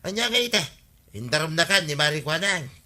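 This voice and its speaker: A man's voice: fairly high pitch, big pitch swings, brisk, sharp tone, exaggerated voice. A man with an Ilocano accent playing a grumbling, put-upon village husband in a radio comedy drama. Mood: reproachful.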